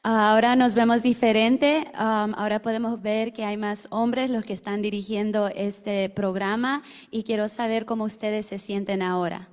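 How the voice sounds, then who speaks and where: A woman's voice: medium pitch, fairly even intonation, normal speed, hardly any pauses. Woman translating for the boss, mid voice, echoey hall. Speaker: interpreter. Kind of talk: consecutive interpreting.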